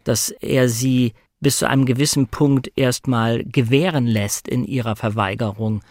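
A man's voice: medium pitch, fairly even intonation, normal speed, a little mellow, no room sound, clean studio sound. A man talking reflectively and conversationally about film and novels, explaining his analysis as a guest in a discussion.

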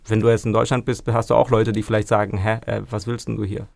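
A man's voice: fairly low pitch, little pitch movement, quick pace, vocal fry. A man in a band, giving reflective interview answers and searching for words with frequent restarts. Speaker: band member.

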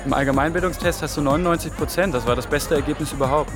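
An actor playing an earnest young intern. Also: male voice, fairly high pitch, very fast, music in the background, close to an everyday voice. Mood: eager. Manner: stammering when caught, eager when explaining. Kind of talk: TV drama acting.

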